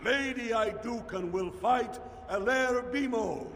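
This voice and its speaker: An actor playing a formal, deferential dwarf arena master in a fantasy video game, with a declamatory delivery. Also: male voice, very high voice, takes it slowly, fairly bright tone, heavily acted. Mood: grand announcing. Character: formal, ceremonious male dwarf arena master announcing fights. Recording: long hall reverb, some background noise.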